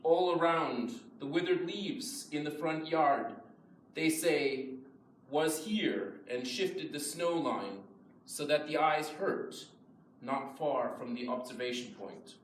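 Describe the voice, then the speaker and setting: A man reads translated poetry to a live gathering. His voice is a little higher than most men's. The room rings with clear echo, and faint noise sits underneath. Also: takes it slowly. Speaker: translation reader. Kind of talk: poetry reading. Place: indoor live poetry event.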